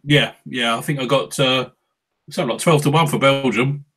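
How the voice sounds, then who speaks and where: A man's voice: mid-range voice, steady tone, normal speed, fairly bright tone. A man chatting casually as co-host, giving short laid-back answers. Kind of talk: casual chat.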